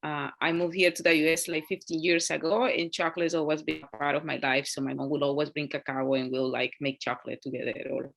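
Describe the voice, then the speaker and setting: Woman, gravelly voice, easygoing panel guest, video call sound. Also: deep voice, level tone, quick pace, hardly any pauses, a bit bright. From Honduras. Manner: easygoing, many fillers.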